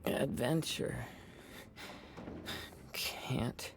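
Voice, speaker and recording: An actor voicing a drowsy, tipsy young wanderer in an anime video game, murmuring in half-asleep fragments. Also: male voice, mid-range voice, fairly even intonation, extremely slow, creaky, noisy background. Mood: groggy. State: groggy.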